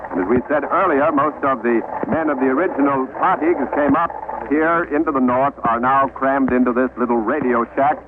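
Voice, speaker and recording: Male actor as expedition news anchor, old-time radio drama, measured and formal, phone-thin vintage audio with clear noise. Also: medium pitch, lightly acted. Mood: earnest, steady. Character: earnest male radio newsman hosting from a polar ship's radio shack. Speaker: anchor reporter. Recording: noisy, tinny vintage radio recording.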